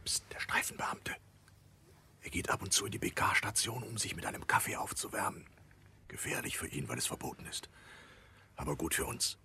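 An actor in a German radio drama playing a cautious, brisk male guide who gives terse, conspiratorial instructions in a husky, hushed voice. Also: low voice, very animated, whispered, full character voice. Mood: wary, hushed. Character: Duclos, cautious, brisk underground guide organising an escape.

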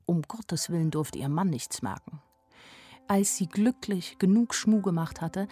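An actress as a young woman narrating a radio play in the first person, with a lowish voice and music behind her. She is plain-spoken and wry, a naive girl who notices everything. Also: a little mellow, natural voice. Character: young woman, naive yet sharply observant storyteller.

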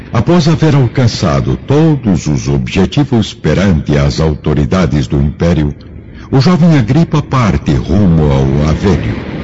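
A solemn male radio narrator with a Brazilian accent speaks in grand, theatrical style. His voice is deep and widely inflected. The slightly dull recording gives it a radio broadcast feel. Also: warm tone, slight background noise. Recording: muffled radio broadcast with music. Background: clear background music.

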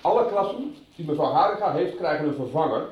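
Authoritative school head, formal, disapproving, rather high lively voice, radio soap. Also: male voice, quick pace, recorded from a distance, a little hiss or hum. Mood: brisk, authoritative. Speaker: actor. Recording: echoey, dull room, off-mic.

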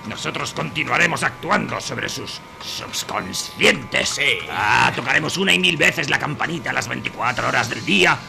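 An actor playing a gloating, contemptuous advertising villain in a satirical radio play, with a gravelly, sneering, drawn-out delivery. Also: male voice, medium pitch, lively intonation, pushed and bright, soft background music, full character voice. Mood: conspiratorial, smug. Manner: sneering, drawn-out, punctuated by cackles. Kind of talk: satirical radio drama acting.

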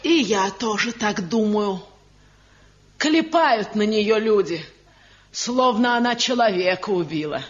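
A woman's voice: medium pitch, animated, slow pace. An actress playing a sympathetic old village wife and mother-in-law in a radio drama. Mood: sympathetic, aggrieved. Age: older. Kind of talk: Soviet radio drama acting. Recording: dull 1970 radio play recording.

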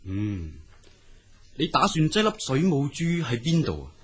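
A man's voice: mid-range voice, sing-song, measured pace, slightly rough, heavily acted. A man with a Cantonese accent playing a greedy, bullying river god in an audio drama, imperious, scornful and commanding. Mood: probing.

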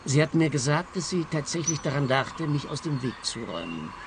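An actor playing a touchy, self-justifying, well-to-do married client in a radio play. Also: male voice, on the high side, big pitch swings, fairly quick, noisy background. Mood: evasive.

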